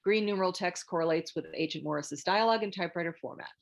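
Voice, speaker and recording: A woman's voice: fairly low pitch, fast, vocal fry. A woman reading scripted screenplay narration, stage directions and scene headings as a narrator.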